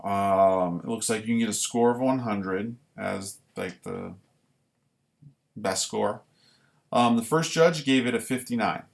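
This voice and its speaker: A man reading his own writing live and commenting on it casually, in deliberate, halting short phrases. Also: lowish voice, vocal fry. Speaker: writer reading own work; former military recruit.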